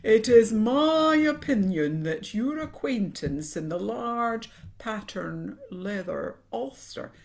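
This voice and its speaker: A woman's voice: on the high side, animated, slow pace, hardly any pauses, voice pushed up. A woman voicing a pompous, bossy, wordy python in a storybook, speaking emphatically. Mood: urgent, pompous. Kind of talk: animated children's storybook character voice.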